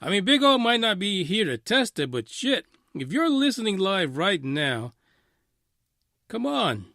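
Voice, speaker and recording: A man's voice: sits high, unhurried, dry recording, clean studio sound. A man hosting a casual live talk radio show, commenting on a story in an emphatic, often sweary way. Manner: animated, emphatic, frequently swearing.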